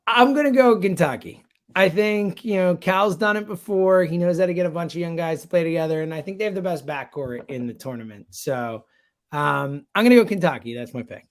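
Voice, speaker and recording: A man's voice: fairly high pitch, brisk. A man speaking casually and emphatically as a sports radio guest, full of slang and strong opinions. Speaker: sports radio producer and show host, guest.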